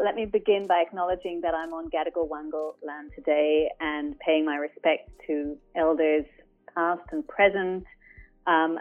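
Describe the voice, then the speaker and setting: Woman academic on a tinny phone line. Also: sits low. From Ireland. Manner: measured, thoughtful, explanatory. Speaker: academic guest. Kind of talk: radio interview, explaining. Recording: phone-line remote interview.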